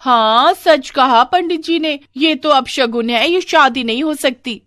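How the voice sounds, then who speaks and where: A woman's voice: high voice, a little slow, fairly bright tone, no room sound, clean studio sound, voice pushed up. A woman voicing a spiteful, scheming older aunt for a cartoon story, taunting in a theatrical, gloating way.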